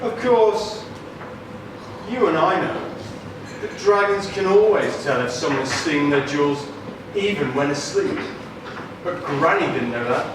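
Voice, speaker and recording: A man's voice: fairly high pitch, lively intonation, unhurried, pauses often, noisy background. A man telling a scripted puppet-show story as narrator at a live performance. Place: live puppet theatre show, far from mic. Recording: echoey hall, distant mic, loud music.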